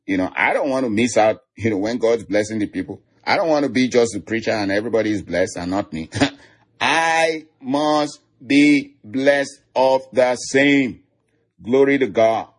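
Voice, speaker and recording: Husky Nigerian preacher, chuckling often. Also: male voice, on the low side. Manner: chuckles often, repeats declarations. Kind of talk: online sermon.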